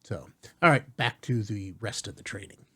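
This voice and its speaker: Casual male tutorial host, slightly husky. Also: fairly low pitch, normal speed, sharp tone. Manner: informal, reassuring asides with rhetorical check-ins. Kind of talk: casual step-by-step software tutorial.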